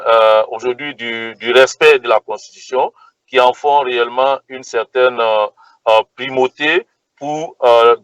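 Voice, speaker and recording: A man from Côte d'Ivoire explains a protest march in an interview, often pausing on fillers and restarting his phrases. His voice sits at a middle pitch. The recording is dry and dull, with little room sound. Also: a bit bright, no echo. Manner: hesitant, many fillers and restarts. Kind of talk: interview answer.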